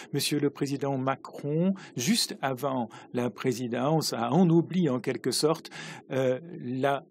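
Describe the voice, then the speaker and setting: A man doing simultaneous interpretation of parliamentary speeches, halting, with uneven pacing and frequent restarts. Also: mid-range voice, breathy edge.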